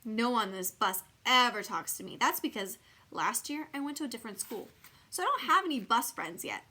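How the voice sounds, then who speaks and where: Storybook role: plain, earnest little boy, read by a woman, simple candid delivery, animated pitch. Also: a little fast. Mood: earnest, shy. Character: friendless young schoolboy, loud laugher, plain and earnest. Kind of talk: children's storybook character voice.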